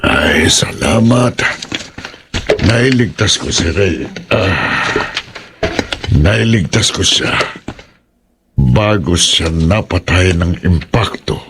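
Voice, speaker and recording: A man acting an earnest, principled older ritualist in a horror radio drama, speaking in a husky, pleading manner. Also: low voice, lively intonation, very slow, long pauses, background noise, full character voice. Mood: relieved.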